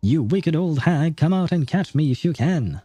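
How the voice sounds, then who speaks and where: A man's voice: a little high, animated, moderate pace, dark tone, no echo, pitched above the performer's own voice. Man playing a brash, bossy, reckless boy. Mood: taunting. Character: bossy, reckless village boy taunting a witch.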